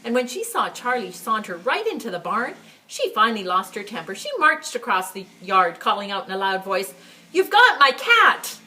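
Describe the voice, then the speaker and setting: Expressive woman reading a storybook, animated pitch. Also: fairly quick, a little hiss or hum, low-quality recording. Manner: expressive, with emphatic phrasing and conversational asides. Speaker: story time reader for a school library. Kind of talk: read-aloud picture book storytelling for children.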